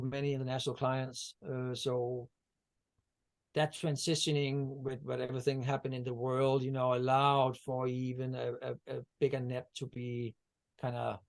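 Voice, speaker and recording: A man's voice: medium pitch, vocal fry, a little mellow. A man from Denmark, a guest on a video-call interview, talking about energy healing in a meandering way with many fillers and restarts. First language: Danish.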